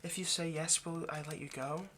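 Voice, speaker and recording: Man playing an anxious lovesick vampire, bright-voiced. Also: on the high side, fairly even intonation, a little hiss or hum. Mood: tender, wistful. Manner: halting, stammering, pleading. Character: anxious, lovesick male vampire kidnapper, centuries old but youthful. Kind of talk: intimate ASMR audio roleplay.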